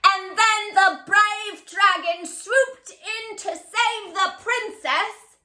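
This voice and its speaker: A woman voicing a bold young boy who proclaims an adventure story in a booming, theatrical storybook voice. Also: very slow, pushed and bright, heavily acted. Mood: booming, dramatic.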